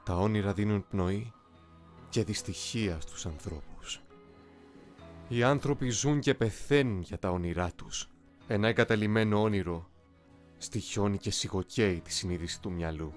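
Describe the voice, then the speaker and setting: A man playing a young, eloquent, coolly ambitious soldier in an anime fan dub, speaking in a formal, measured, philosophical way. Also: on the low side, natural voice. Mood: grave, philosophical. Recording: music behind the voice.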